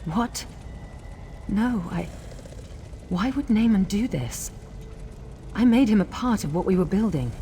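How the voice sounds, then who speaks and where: An actress playing a solemn, resolute elven queen in a fantasy game, speaking in a formal, stately, measured way. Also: medium pitch, animated, warm tone, slight background noise, lightly acted. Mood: shocked, grieving. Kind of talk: scripted fantasy game voice acting. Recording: music behind the voice.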